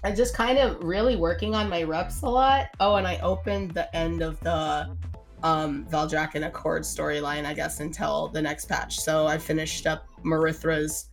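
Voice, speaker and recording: A woman's voice: sits low, fairly even intonation, normal speed, faint music behind. A woman with a slightly scratchy voice from a sinus infection, chatting casually as a gaming podcast co-host, full of "like" and "um". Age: adult mother. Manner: chatty, fills with "like" and "um", swears freely. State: getting over a sinus infection, slight cough.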